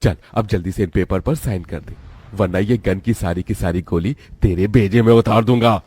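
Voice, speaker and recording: An actor playing a greedy, falsely affectionate middle-aged uncle in a cartoon moral story, smooth-talking and calculating. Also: male voice, lowish voice, normal speed, a little mellow, no echo. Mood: menacing.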